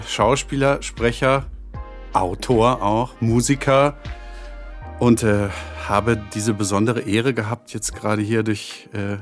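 A man, an actor and voice-over speaker, chatting casually in an interview and often searching for his words. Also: mid-range voice.

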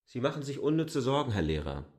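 A man's voice: medium pitch, very animated, quick pace, a little mellow, dry recording. A man playing a proud, defiant newcomer in a radio drama, articulate, curt and self-assured. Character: defiant, proud newcomer pushing back against village officials. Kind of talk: German radio drama acting.

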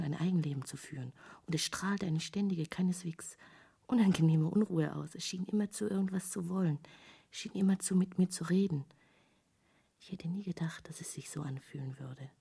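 An actress in a literary radio play playing an intense, searching woman painter, speaking intimately and deliberately in a breathy, creaky half-whisper. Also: sits low, dark tone, full character voice. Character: intense female painter hungry for forbidden knowledge, musing.

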